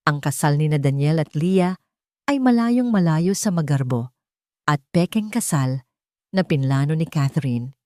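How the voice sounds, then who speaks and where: Low, mellow woman narrating a dramatic story. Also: expressive, measured pace, no room sound, clean studio sound. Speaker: narrator of romance stories. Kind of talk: dramatic scripted audiobook narration.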